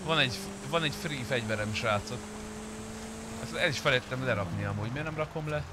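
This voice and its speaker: A man chatting casually as he streams a roleplay game, blunt, sweary and quick to argue his point. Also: medium pitch, background noise. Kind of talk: casual gaming chat.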